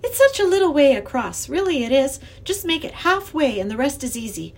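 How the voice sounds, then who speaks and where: A woman voicing an earnest, excitable young girl who pleads and insists, in an acted children's storybook reading. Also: sits high, brisk, voice pushed up. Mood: coaxing.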